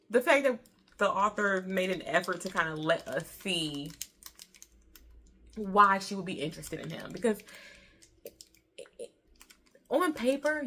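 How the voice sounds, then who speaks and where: A woman's voice: fairly low pitch, fairly bright tone, slight background noise. An American woman in her early thirties giving a casual book review in an informal, chatty way, repeating herself for emphasis. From Detroit, Michigan, USA.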